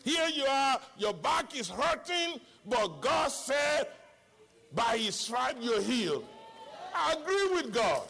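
A man's voice: very high voice, big pitch swings, slow pace, gravelly, sharp tone. A middle-aged man with a Nigerian accent, a native Yoruba speaker, preaching an impassioned sermon as a pastor with emphatic repetition and rhetorical questions.

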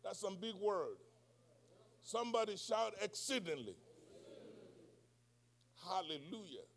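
A male pastor preaching a sermon, speaking English as a second language, who swings between soft, quiet passages and shouted, call-and-response preaching. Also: high-pitched, big pitch swings, takes it slowly, creaky. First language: not English.

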